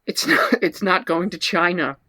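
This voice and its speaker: A man playing an earnest, persistent detective who talks haltingly and apologetically in improvised comedy. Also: sits high, pushed and bright, no room sound. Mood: insistent. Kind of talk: improvised comedy table read.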